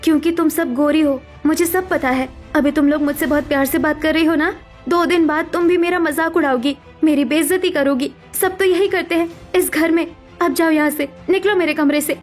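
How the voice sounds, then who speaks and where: Woman as a bitter young sister-in-law, very high-pitched. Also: steady tone, brisk, soft background music, close to an everyday voice. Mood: angry, hurt. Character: bitter, defensive young woman, hurt and mistrustful. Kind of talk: cartoon family drama voice acting.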